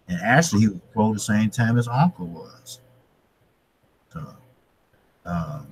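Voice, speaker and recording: Guest, man, 50s, husky and lowish, hesitant speaker. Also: low-quality recording. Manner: hesitant, many fillers and restarts, laughs softly. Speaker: guest, boxing channel creator. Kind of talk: casual interview chat.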